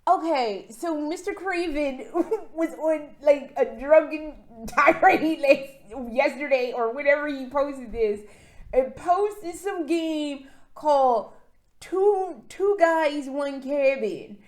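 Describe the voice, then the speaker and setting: A woman vlogging casually about a video game in an excitable, profane, rambling way. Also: high voice, slow pace. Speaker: gaming vlogger. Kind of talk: casual vlog recap.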